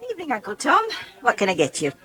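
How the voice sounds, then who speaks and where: Older English village landlady, kindly but brisk, animated pitch, 1980s radio soap. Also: slightly rough, full character voice. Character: kindly, brisk older woman minding the village pub bar.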